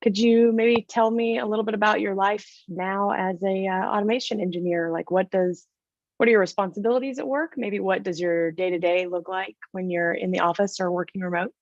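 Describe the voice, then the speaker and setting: A woman's voice: medium pitch, fairly even intonation, a little fast, no echo. A woman hosting a video call interview, upbeat and encouraging as she asks questions. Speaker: host, interviewer. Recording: dry, dull video call audio.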